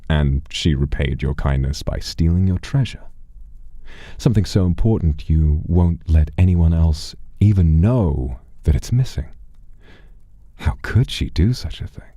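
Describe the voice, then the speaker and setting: A man voicing a sly, sarcastic, calculating demon negotiator in a told story, measured, hushed and theatrical. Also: a bass voice, animated, no echo, clean studio sound, full character voice. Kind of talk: acted dialogue within a story.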